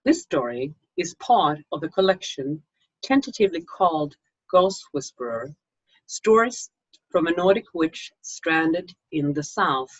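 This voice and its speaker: Female author-reader, Swedish accent. Husky voice, measured slow delivery, slightly dull lo-fi recording. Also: low-pitched, animated. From Sweden. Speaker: magazine co-publisher and author reading her own story. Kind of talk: informal introduction, then slow literary story reading. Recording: dull lo-fi microphone sound.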